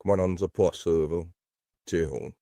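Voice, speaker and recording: Gloomy, slow, deep-voiced man. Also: no room sound, clean studio sound. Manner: gloomy, flat, mumbling, chant-like.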